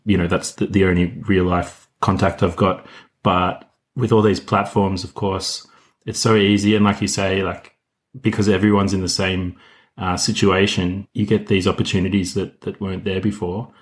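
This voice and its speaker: A man, chatting casually as a podcast guest. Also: low-pitched. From Australia. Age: 30s. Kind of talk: casual chat.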